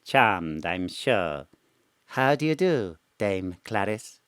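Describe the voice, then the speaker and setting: A languid, sinister theatre bookkeeper, played by a man in an audio drama, arch and mannered with a drawl. His voice is smooth and resonant. The sound is dry and close. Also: lowish voice, big pitch swings, slow, fairly bright tone, no room sound, full character voice. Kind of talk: live remote audio drama acting. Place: home studio.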